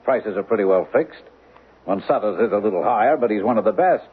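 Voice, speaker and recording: An actor playing a shrewd, suspicious American consul in an old-time radio drama, probing and wry. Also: male voice, on the low side, expressive, a little fast, husky. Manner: probing, matter-of-fact, wry. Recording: muffled old radio recording.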